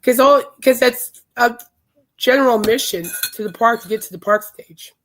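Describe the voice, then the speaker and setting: Hoarse chatty woman podcast host. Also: on the high side, moderate pace, a bit bright, slight background noise. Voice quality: raspy, worn throat. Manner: chatty, enthusiastic storytelling. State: hoarse, voice shot from illness. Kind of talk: casual rambling chat.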